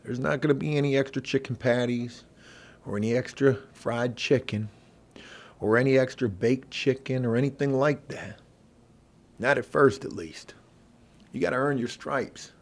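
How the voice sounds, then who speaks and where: A man playing a middle-aged veteran inmate who bosses the prison kitchen, in an ASMR role play with a gravelly, husky voice and a folksy, intimidating manner. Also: medium pitch, close to an everyday voice. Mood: sardonic, warning. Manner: folksy, intimidating, drawn-out menacing delivery. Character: gruff, bossy veteran male prison inmate running the kitchen.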